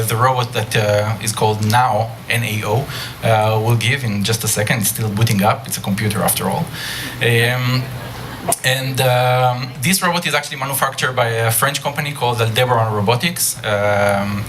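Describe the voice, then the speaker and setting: Israeli man, live talk, mid pitch, lots of fillers, noisy room. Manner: hesitant, many ums and uhs. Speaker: speaker at a nerd talk night. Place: bar venue before an audience.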